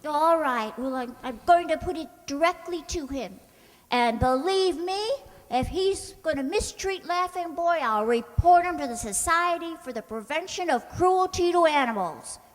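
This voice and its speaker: A woman playing a ditzy, soft-hearted, stubborn young secretary who muddles her words, in old-time radio comedy style. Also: high-pitched, expressive, normal speed. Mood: earnest, huffy. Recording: echoey hall, long reverb.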